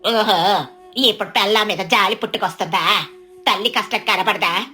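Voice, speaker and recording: Woman playing a bitter nagging old mother-in-law, bright edge. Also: medium pitch, moderate pace, soft background music, lightly acted. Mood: sarcastic, bitter. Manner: sarcastic, complaining.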